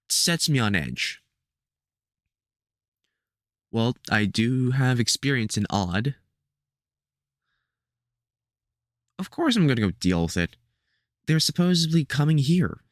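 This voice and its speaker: A man playing a gruff, protective veteran vampire hunter in an audio roleplay, talking hesitantly and with light sarcasm to the listener. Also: mid-range voice, no room sound, clean studio sound. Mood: puzzled, wary, then stern, protective.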